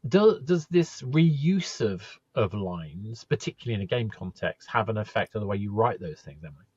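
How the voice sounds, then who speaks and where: A man's voice: on the low side, expressive, normal speed, a little mellow, breathy edge, no echo. A man hosting a podcast, asking rambling, hesitant questions with plenty of fillers. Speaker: podcast host. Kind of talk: casual interview questions. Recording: dull lo-fi sound.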